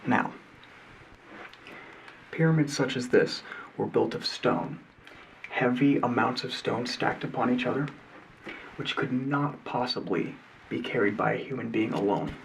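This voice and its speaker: A man voicing an earnest 1950s television presenter, speaking in soft, mostly unvoiced whispers. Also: medium pitch, unhurried, gravelly, pauses often, distant mic, a little hiss or hum, low-quality recording. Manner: mostly unvoiced whispering, old-fashioned host patter. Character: earnest male 1950s TV presenter, folksy and sure. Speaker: ASMR creator playing a 1950s TV host. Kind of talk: soft-spoken ASMR roleplay of a 1950s talk show.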